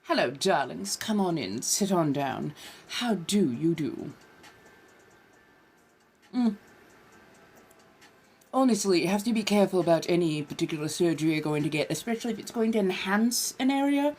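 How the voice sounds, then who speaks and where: A woman's voice: on the low side, expressive, normal speed, long pauses, darkish tone, a little hiss or hum. A woman from California chatting casually as a livestream host, putting on a posh colonial American accent with affected, genteel phrasing. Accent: put-on posh colonial American. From California, United States. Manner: affected genteel phrasing, addresses listeners as dears and darlings.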